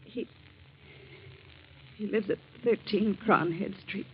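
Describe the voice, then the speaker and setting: A woman's voice: medium pitch, slow. A woman acting an anxious, shaken young fiancée in an old-time radio drama, faltering and pleading. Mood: uneasy. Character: anxious young Austrian fiancée, shaken by her uncle's murder. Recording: dull noisy old radio recording.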